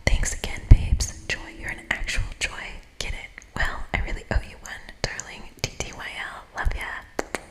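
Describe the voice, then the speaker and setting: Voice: normal speed, lightly acted. A modern diva of a goddess as an ASMR reader puts on, delivered entirely in whisper. This game character is vain and dramatic, swinging between pompous and gushingly affectionate.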